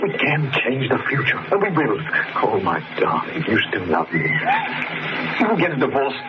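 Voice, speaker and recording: Melodramatic lieutenant, hugely swooping pitch. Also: male voice, wildly swooping pitch, moderate pace. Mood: impassioned. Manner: stiffly proper, melodramatic. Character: indignant, lovelorn gentleman army lieutenant. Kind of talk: old-time radio drama acting. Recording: very noisy, muffled old radio recording.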